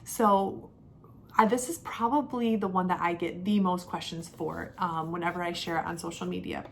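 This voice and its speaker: A woman's voice: fairly low pitch, steady tone, moderate pace, gravelly, soft background music. A young adult woman talking casually to camera in a product review, chatty and conversational, leaning on key words. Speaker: homeschooling mother and vlogger.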